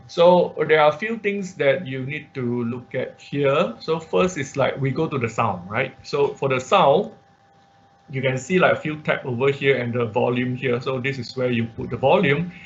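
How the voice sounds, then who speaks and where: Halting male workshop instructor, dull audio. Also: medium pitch, gravelly. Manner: halting, repeats words, frequent checking tags like "okay?" and "right?". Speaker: instructor of an online robotics workshop. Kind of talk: informal live tutorial with screen sharing. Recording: dull, band-limited online class audio.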